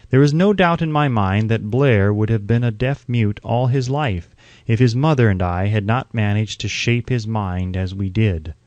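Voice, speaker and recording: A man's voice: mid-range voice, a little mellow, dry recording. Man, mid-range voice, scripted audiobook narration, formal earnest delivery, dry close sound.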